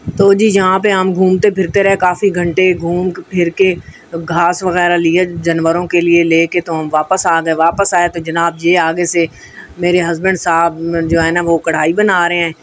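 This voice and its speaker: A woman's voice: on the low side, flat delivery, moderate pace. A woman from Pakistan with a Pakistani accent narrates a casual vlog voice-over, chatting directly to her viewers. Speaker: vlogger. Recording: noticeable background noise.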